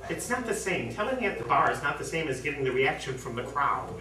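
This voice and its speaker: A man's voice: mid-range voice, big pitch swings, quick pace, lightly acted. A man playing a disgruntled, sarcastic husband at a wedding in an amateur stage play. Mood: grumbling. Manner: complaining, exasperated, sarcastic. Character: disgruntled husband and garage boss, sulky wedding guest. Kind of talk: amateur one-act stage play acting. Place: indoor theatre studio, heard from afar. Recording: roomy distant stage recording, faint noise.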